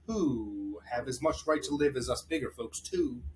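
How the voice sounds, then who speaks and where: A man's voice: medium pitch, normal speed, slight background noise, close to an everyday voice, rough recording. A man voicing a kind, loyal male elephant in a read-aloud storybook, talking in an earnest, pleading way.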